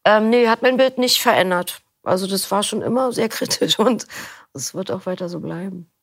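A middle-aged woman being interviewed about narrating an audiobook, talking in a relaxed, rambling way. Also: medium pitch, normal speed. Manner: relaxed, rambling, laughs easily. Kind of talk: casual interview chat.

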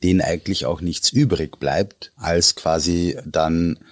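A man with an Austrian accent explaining as an expert guest in conversation, halting and searching for words yet emphatic. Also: low voice. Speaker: interview guest, Japanologist and translator.

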